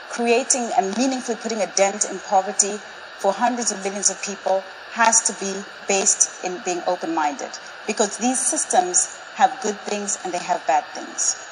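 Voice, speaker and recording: Measured, persuasive woman in her forties. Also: medium pitch, background noise. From Zambia. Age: 40s. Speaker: speaker. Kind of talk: prepared public talk. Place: large auditorium with live audience.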